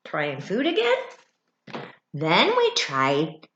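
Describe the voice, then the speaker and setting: A middle-aged woman reads a children's book aloud like a teacher, expressively, pausing for asides and questions to young listeners. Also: low voice, lo-fi sound, a little hiss or hum. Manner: expressive, with dramatic gasps and thoughtful hums. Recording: roomy, echoey indoor sound.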